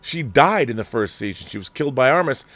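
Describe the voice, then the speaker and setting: A man's voice: medium pitch, lively intonation, fast, a bit bright, no echo. A middle-aged man hosting a commentary show, ranting sarcastically and with exasperation. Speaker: show host.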